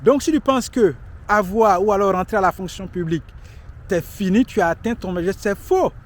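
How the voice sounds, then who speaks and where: A man's voice: high voice, fairly quick. A man in his late thirties giving emphatic, repetitive motivational life advice outdoors in a city street. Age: 30s. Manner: emphatic, preachy, repeats himself for stress. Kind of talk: motivational talk to camera.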